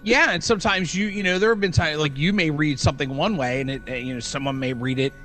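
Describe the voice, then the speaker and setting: A man's voice: fairly high pitch, fast, sharp tone. A man co-hosting a podcast in casual chat, agreeable and somewhat tentative in how he phrases things. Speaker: podcast co-host.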